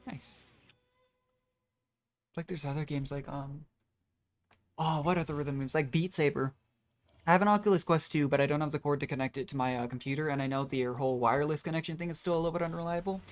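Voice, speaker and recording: A man's voice: on the high side, a little fast, pauses often. A young man giving casual, rambling live commentary as a game streamer. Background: game music.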